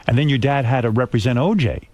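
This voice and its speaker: A man's voice: mid-range voice, fast talker, darkish tone. A man hosting a radio interview, asking blunt, teasing, probing questions. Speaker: radio host. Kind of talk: casual interview chat. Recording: radio studio.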